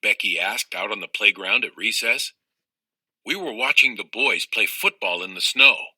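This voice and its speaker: Man, children's audiobook narration, very bright tone, slightly raspy, lively intonation, dry recording. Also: fairly low pitch, normal speed, no room sound. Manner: expressive, acts out dialogue. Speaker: audiobook reader. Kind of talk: scripted narration of a children's book read aloud.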